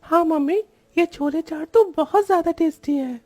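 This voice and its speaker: A woman's voice: very high-pitched, normal speed, darkish tone, dry recording, clean studio sound, faint music behind. A woman voicing an eager, whiny little schoolboy in a cartoon story. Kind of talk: cartoon voice acting.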